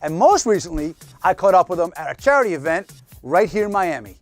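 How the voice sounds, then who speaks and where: A male television host with a high voice and very lively intonation. He presents and interviews in an entertainment-show style, with faint music behind him. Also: fairly quick.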